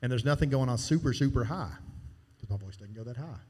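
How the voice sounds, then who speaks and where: A man walks a church team through its sound board in a loose, folksy way, explaining as he works the controls. His voice is mellow and dark, and it carries a long reverb from a live church sanctuary. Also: medium pitch, animated, quick pace, breathy edge. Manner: casual, folksy, talks while demonstrating. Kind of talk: hands-on sound board training.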